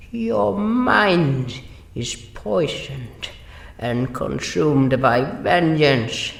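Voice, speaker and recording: Man voicing a high, halting, earnest little creature. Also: vocal fry, echoey room, full character voice. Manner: halting broken English, dropped articles. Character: timid, loyal creature narrator-hero, pleading and earnest. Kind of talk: audio drama acting.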